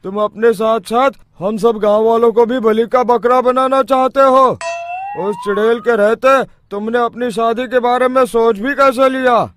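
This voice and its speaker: A man voicing a frightened male villager in a cartoon, shouting in a high panicked voice. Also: fairly even intonation, a little slow. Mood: alarmed. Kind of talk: cartoon voice acting.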